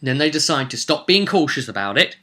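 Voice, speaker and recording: A man's voice: a little high, sing-song, fairly quick, pushed and bright. A man recapping a tabletop roleplay session as game master, telling it theatrically with casual asides.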